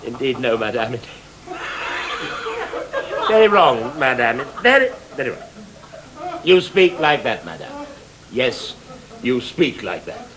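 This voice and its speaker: An actor playing a bossy, impatient theatre director in a stage drama, emphatic and condescending. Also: male voice, mid-range voice, big pitch swings, takes it slowly, long pauses, a crowd in the background, rough recording. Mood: mock-polite. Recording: faint tape hiss.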